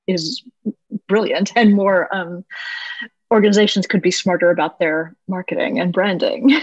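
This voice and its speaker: A woman's voice: lowish voice, steady tone, normal speed, dry recording. Chatty woman podcast host, dry dull mic. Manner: chatty, rambling questions, self-deprecating. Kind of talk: casual interview chat.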